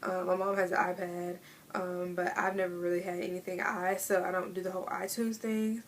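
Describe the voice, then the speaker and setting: A woman of twenty in a casual vlog, chatting in a relaxed way with many fillers as she answers tag questions. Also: fairly low pitch, a little fast, slight background noise. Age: 20s. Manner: chatty, laid-back, lots of fillers. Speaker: vlogger. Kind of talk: casual tag video chat.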